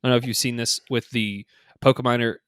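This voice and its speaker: A man hosting a casual gaming podcast, thinking out loud in an informal, slangy, speculative way. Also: medium pitch, little pitch movement, fast, clean tone, dry recording. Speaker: podcast host. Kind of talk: casual two-person podcast discussion of a mobile game.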